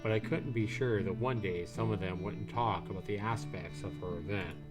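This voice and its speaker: A man's voice: fairly low pitch, moderate pace. A man, a game streamer, reading the narration of a visual novel aloud. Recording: music behind the voice. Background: guitar music.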